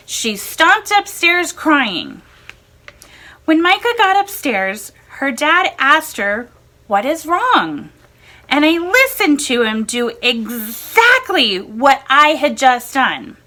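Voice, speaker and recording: A woman narrates a first-person essay at an unhurried pace. Her voice sits high and bright, and her pitch rises and falls expressively as she reads. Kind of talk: measured reading of a first-person blog essay.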